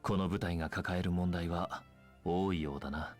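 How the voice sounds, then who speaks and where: A man's voice: deep voice, fairly even intonation, quick pace, a little mellow, soft background music, close to an everyday voice. A man playing an earnest, resolute young squad commander in an anime game, speaking seriously, firmly and formally. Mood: brooding.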